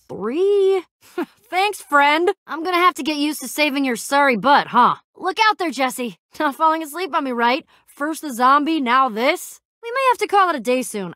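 A woman's voice: sits high, a bit bright, dry recording, clean studio sound. A young woman playing a brash, teasing but loyal warrior in a video game, with cocky, sarcastic, slangy banter. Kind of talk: animated video game character acting.

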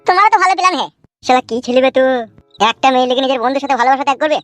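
A man's voice: very high-pitched, rapid-fire, a bit bright, no echo, faint music behind. A man voicing a hot-headed, foul-mouthed young village man in a comic cartoon, in slangy rural West Bengal dialect. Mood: indignant, hurt. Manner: brash, slangy bickering.

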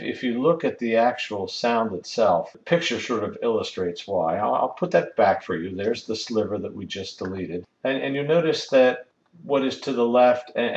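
A man's voice: fairly low pitch, reverberant, lo-fi sound. A man giving a methodical, step-by-step technical lesson as an instructor. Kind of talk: explanatory screen-recorded lesson.

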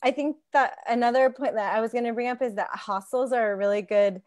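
A woman's voice: on the high side, fairly even intonation, brisk, a little mellow, a little hiss or hum. A woman in her forties casually sharing stories and advice as a guest on a round-table call. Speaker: tour guide, panel guest. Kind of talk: casual conversational storytelling and advice. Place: living room couch, indoors. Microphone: wired earphone mic on a video call.

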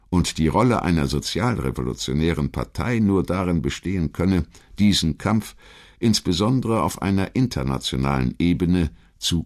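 Ein Mann, der als Erzähler einen vorbereiteten historischen Text vorträgt. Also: male voice, sits low. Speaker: documentary narrator. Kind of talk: scripted historical narration.

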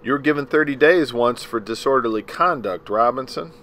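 A man's voice: medium pitch, animated, fairly quick, a bit bright, slight background noise, pitched above the performer's own voice. A man voicing a cold, scornful prosecutor who presses a witness with contempt. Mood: sneering.

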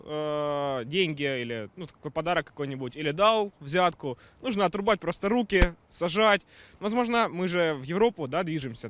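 A man with a slightly high voice gives a street interviewer his blunt opinion. He hedges often with fillers. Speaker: passerby. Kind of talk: street vox pop.

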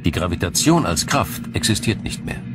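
Deep-voiced male documentary narrator. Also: big pitch swings. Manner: measured, explanatory. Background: music.

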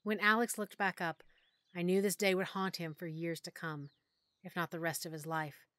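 A woman narrating a first-person audiobook story in a measured, emotional way that sometimes drops to a hush. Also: fairly low pitch, little pitch movement, no echo. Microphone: close studio mic.

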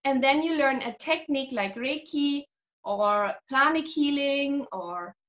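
High-voiced woman on a video call. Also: unhurried, dull audio. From Germany. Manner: animated, gestures a lot, laughs often. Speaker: guest, energy healer. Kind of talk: casual video-call interview. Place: small room at home.

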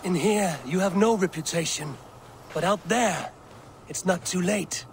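Grave Viking warrior, noisy background. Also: male voice, sits high, unhurried. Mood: urging. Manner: grave, declarative, resolute. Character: stern, defiant Viking warrior, principled and grave. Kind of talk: dramatic video game acting.

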